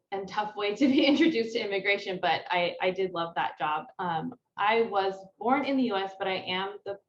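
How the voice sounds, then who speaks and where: Young woman lawyer introducing herself, kinda muffled, echoey room with faint hiss. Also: medium pitch. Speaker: associate immigration attorney. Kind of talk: self-introduction on a webinar. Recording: dull, echoey, faint noise.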